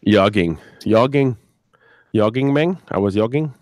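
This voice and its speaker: Man, middle-aged, very low voice, dry close sound. Halting, sweary, casual storyteller on a live stream. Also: animated, a little mellow, no room sound. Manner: rambling, halting, crude swearing. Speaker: podcast creator, interview guest. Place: video live stream with a banner behind.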